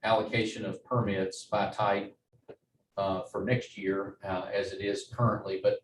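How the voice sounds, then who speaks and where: A man giving a formal staff presentation at a meeting, in a halting, measured way. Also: lowish voice, flat delivery. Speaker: wildlife agency staff presenter. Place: meeting room. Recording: roomy, distant mic, faint noise.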